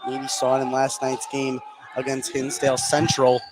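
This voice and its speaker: A man doing live play-by-play basketball commentary on the radio, raising his voice into excited shouts on big plays. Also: medium pitch, moderate pace. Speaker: play-by-play announcer. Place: indoor school basketball gym, live courtside. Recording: noisy live gym broadcast.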